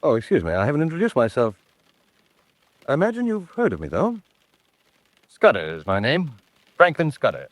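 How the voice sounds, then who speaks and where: A man's voice: mid-range voice, wildly swooping pitch, normal speed, pauses often, no room sound. An actor playing a polite, self-deprecating male house guest in an old-time radio drama, speaking with understated courtesy. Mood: apologetic, smooth.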